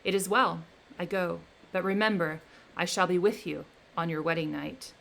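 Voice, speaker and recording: Woman reader voicing a lonely, menacing male creature: bitter, vengeful, declaimed loud. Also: fairly low pitch, moderate pace, vocal fry, rough recording. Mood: threatening.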